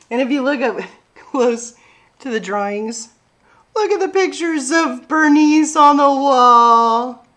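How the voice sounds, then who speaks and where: Animated, high-voiced woman storyteller for children. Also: slow, pauses often. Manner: expressive, animated, addressing young children. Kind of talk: children's story time read-aloud.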